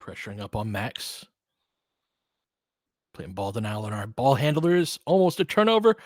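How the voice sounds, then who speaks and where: A man doing casual live basketball play-by-play as a fan analyst, in clipped phrases, swinging between muttered asides and excited calls. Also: lowish voice, normal speed, no room sound, clean studio sound.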